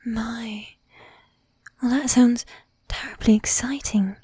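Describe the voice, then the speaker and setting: Woman acting a predatory lady vampire, whispery and breathy, high-pitched, bossy, sweetly menacing, teasing formal manner, close-miked ASMR roleplay. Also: fairly even intonation, mellow, no room sound, full character voice. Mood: flirtatious. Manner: coaxing, affected old-fashioned formality, drawn-out teasing. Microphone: close ASMR microphone.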